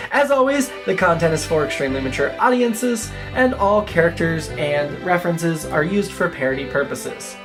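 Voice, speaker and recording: A woman reading a scripted podcast introduction as the announcer. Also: lowish voice.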